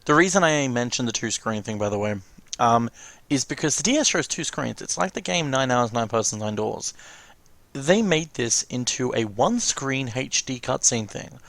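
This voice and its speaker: A man's voice: mid-range voice, animated, moderate pace, gravelly, a bit bright, dry recording. A man giving casual, chatty, fannish reaction commentary as he watches along.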